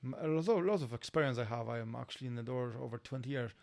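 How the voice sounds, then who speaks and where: Gravelly-voiced Polish man, halting English. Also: mid-range voice, a little mellow. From Poland. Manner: halting non-native English, repeats words, many fillers. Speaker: podcast guest, door security worker. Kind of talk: casual podcast chat.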